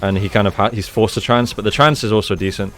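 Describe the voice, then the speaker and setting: A man's voice: on the low side, steady tone, fast. A man giving casual, conversational commentary as he analyses gameplay like a coach, explaining each move.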